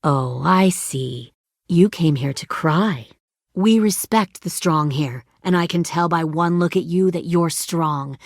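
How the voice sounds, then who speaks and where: A woman's voice: fairly low pitch, takes it slowly, no room sound, clean studio sound. An actress voicing a ruthless, hardened woman who leads a survivor militia in a video game drama, speaking in a controlled, menacing and persuasive way. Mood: cold, menacing.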